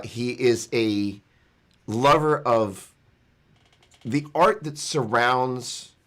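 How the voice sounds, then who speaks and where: Halting male video call host. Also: mid-range voice, fairly bright tone. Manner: loose, hesitant, stops to think mid-sentence. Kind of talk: hosting a video call panel.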